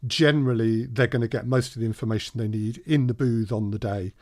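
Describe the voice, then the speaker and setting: Guy chatting through a workshop, medium pitch, dry room sound. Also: fairly even intonation, brisk, a little mellow, no room sound. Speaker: audio drama producer and workshop presenter. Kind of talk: conversational workshop discussion.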